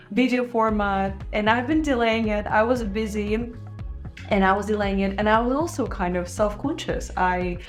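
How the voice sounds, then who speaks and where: Candid young woman host, foreign-accented English. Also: medium pitch. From Israel. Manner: candid, reflective, soft laughs. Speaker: podcast host. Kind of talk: casual personal monologue to camera.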